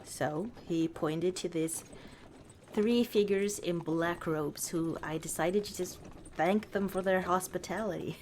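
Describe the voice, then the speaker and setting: A woman playing a warm, teasing orc chieftain wife in an unhurried, dryly dismissive ASMR roleplay monologue. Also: lowish voice, a little hiss or hum, natural voice. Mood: fond storytelling.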